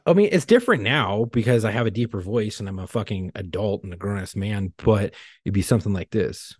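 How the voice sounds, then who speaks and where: A man's voice: lowish voice, animated, brisk, husky, no room sound, clean studio sound. A man in his thirties co-hosting a podcast, telling crude stories in casual, profane banter. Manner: storytelling, profane, laughs while talking. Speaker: podcast co-host.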